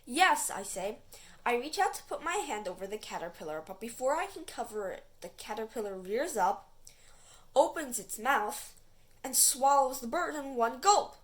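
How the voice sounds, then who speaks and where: A woman reading a children's book aloud in an expressive, dramatic way. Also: on the high side, normal speed. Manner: animated, dramatic dialogue. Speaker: storybook reader.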